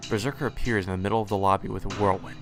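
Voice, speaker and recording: A man with a low voice reads a visual novel aloud in the first person, steady and plain, with the odd stumble over a word. Faint music plays underneath. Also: very fast. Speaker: let's play narrator.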